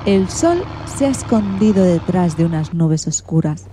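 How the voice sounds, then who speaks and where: A woman reading a children's storybook in an expressive, theatrical way. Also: on the low side, unhurried, noisy background. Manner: theatrical, acts out sound effects and exclamations.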